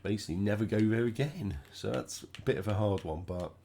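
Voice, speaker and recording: A man talks through his racing game in a relaxed, chatty way, his voice croaky and stuffed up from a cold. He sits a little low in pitch and keeps thinking out loud as he drives. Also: fairly quick, slight background noise. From United Kingdom. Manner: relaxed, chatty, thinking aloud while driving. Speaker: gaming channel host. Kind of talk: casual gameplay commentary.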